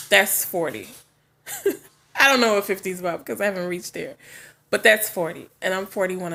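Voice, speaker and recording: A woman's voice: mid-range voice, pushed and bright. Woman, forties, vlogger, casual chat, frank, chatty, laughs often, just woke up. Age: 40s.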